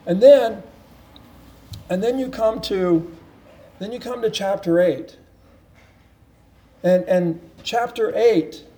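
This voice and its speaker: A man with a high, mellow voice preaches in an earnest teaching tone. He presses his points through repetition and often restarts his phrases. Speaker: pastor. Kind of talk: sermon Bible teaching.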